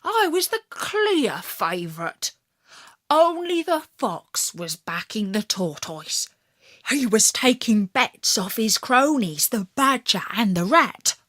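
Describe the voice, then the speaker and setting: Woman reading a kids fairytale, really lively intonation, takes her time, dry close sound. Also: fairly high pitch, no room sound. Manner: expressive, deliberate storytelling. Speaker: storyteller. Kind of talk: scripted children's fairytale narration.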